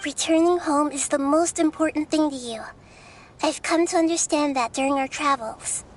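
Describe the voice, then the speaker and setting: A woman voicing a wise, kind young girl god in video game dialogue, gentle and reflective. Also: high voice, normal speed, natural voice. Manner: gentle, reflective, soft-spoken.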